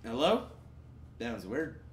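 A man's voice: medium pitch, very animated, measured pace, reverberant, slight background noise. A man hosting a gaming video with casual co-op commentary, sometimes reading game text aloud.

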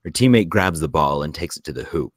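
Narrator, male: extremely low voice, dry recording, reading screenplay directions. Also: expressive, brisk, no echo. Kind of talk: scripted narration.

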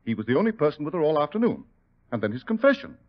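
An actor playing a smooth, formal doctor, politely evasive, in 1940s radio drama style. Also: male voice, fairly high pitch, sing-song, racing. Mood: uneasy, resisting. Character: courteous, smooth-talking doctor, evasive under questioning. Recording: muffled old-time radio recording.

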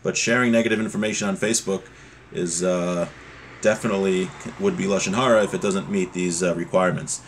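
Middle-aged man teaching, conversational and halting. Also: low voice, fairly even intonation, a little hiss or hum. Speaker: religious teacher. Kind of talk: informal religious text lesson, explaining with examples. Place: small home room, indoors. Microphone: webcam or laptop mic at desk distance.

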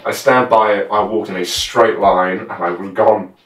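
A man's voice: lowish voice, steady tone, moderate pace, fairly bright tone, recorded from a distance. A man in his twenties chatting casually and telling stories as a guest, recorded in a small room. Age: 20s. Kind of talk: casual chat. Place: small bedroom indoors. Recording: roomy echo, off the mic, faint music.

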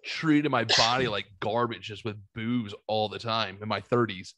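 A man in his late thirties hosting a podcast from a home studio, talking bluntly with plenty of swearing into a boom-arm mic. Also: fairly even intonation, normal speed, bright, forceful tone, no room sound. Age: 30s. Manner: blunt and profane, punchy retorts. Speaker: podcast host. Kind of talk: casual podcast chat. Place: home studio room, indoors. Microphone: studio mic on boom arm, headphones.

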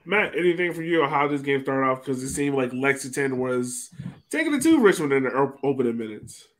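A man with a middle-pitched voice hosts a relaxed, chatty sports podcast. He speaks casually over a webcam call from a small room at home. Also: brisk. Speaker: podcast host. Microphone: webcam video call.

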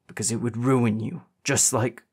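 A man's voice: mid-range voice, flat delivery, normal speed, a little mellow, slightly rough, close to an everyday voice. A man voicing an angry, hardened teenage boy, bitter and wounded, with a growling, demanding delivery in an audiobook.

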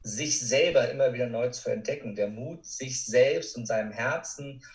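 Casting: man as motivational podcast guest, earnest conversational delivery, clearly roomy sound, slightly far from microphone. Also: medium pitch, moderate pace. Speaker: podcast guest, coach and speaker. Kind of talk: conversational interview answers, motivational. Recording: roomy echo, distant from mic.

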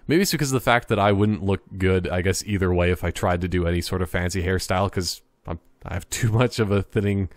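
A man giving casual gameplay commentary in a rambling, joking, halting way. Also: sits low, dry recording. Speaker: gaming commentator.

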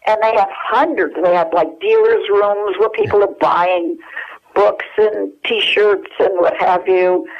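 An older woman, a guest on the phone, recalling family stories in a rambling way with many fillers. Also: medium pitch. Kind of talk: telephone interview. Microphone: telephone. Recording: muffled telephone line.

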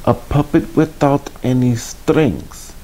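A man's voice: takes it slowly, natural voice, poor audio. A man voicing a bossy, scheming male puppet master, a menacing storybook villain. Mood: sly, coaxing. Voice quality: terrible booming shout at times.

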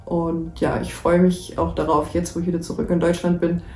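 Mellow low-voiced young woman. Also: level tone, a little fast. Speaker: master's student. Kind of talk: self-introduction to camera. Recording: echoey room. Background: faint music.

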